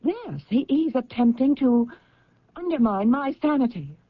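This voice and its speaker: Anxious, high-voiced woman in radio drama. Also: animated, no room sound. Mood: insistent, agitated. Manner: halting, agitated, insistent. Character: anxious career woman convinced of a plot against her. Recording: dull, band-limited old radio recording.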